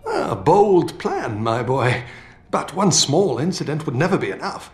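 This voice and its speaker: An actor playing an older British scientist in a video game, feisty and fatherly, with colourful, expressive delivery. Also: fairly high pitch, measured pace. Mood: conspiratorial. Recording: roomy game audio with music.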